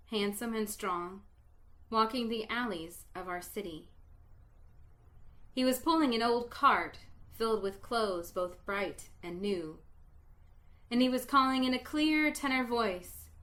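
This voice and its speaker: A woman reading a story aloud with dramatic pauses, dropping to a hush at the sad parts. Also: medium pitch. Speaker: reader.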